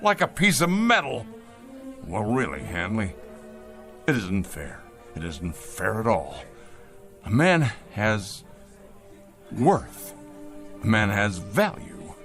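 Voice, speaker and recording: An actor playing a pompous, bitter ousted company boss in a science-fiction drama, neurotic, halting and imperious. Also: male voice, lowish voice, huge pitch swings, fairly bright tone, faint music behind, heavily acted. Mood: wounded, resentful. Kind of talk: classic science-fiction radio drama acting.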